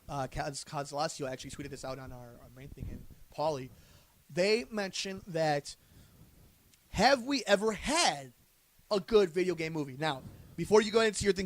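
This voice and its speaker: A man co-hosting a podcast, talking casually about film and pop culture. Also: fairly high pitch. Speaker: podcast co-host.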